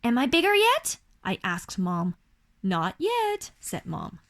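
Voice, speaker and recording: A woman with a Chinese accent reads a children's picture book aloud expressively, as a parent would to a young child. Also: fairly high pitch. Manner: slow, animated storytelling for a young child. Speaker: parent reading a bedtime story to a child.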